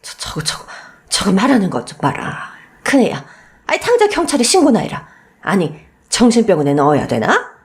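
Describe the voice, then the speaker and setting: A woman's voice: medium pitch, wildly swooping pitch, normal speed. A woman voicing a cold, spiteful old mother-in-law in a radio story, scolding and sputtering. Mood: outraged, scornful.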